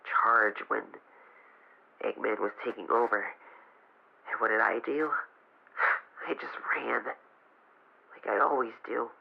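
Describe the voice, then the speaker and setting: A man playing a frightened young fox boy who records a halting, weary log in an audio drama. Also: medium pitch, vocal fry. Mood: ashamed, despairing. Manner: halting, hesitant, weary delivery. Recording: muffled noisy handheld radio recording.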